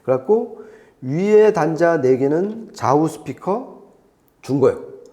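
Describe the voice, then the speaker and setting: A man's voice: on the high side, lively intonation, slow, mellow. A man speaking as an audio expert, explaining things conversationally and step by step, often repeating points for clarity. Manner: didactic, explains step by step with repetition.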